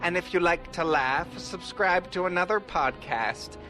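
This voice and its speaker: A man's voice: high voice, measured pace, pushed and bright, faint music behind, rough recording. A man hosting a podcast, reading a scripted sign-off to listeners. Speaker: podcast host.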